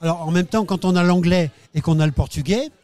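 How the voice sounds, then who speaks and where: A man's voice: high voice, darkish tone. A man hosting a radio interview at an associations fair, joking and bantering with his guests. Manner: jokey, quick banter. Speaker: radio host.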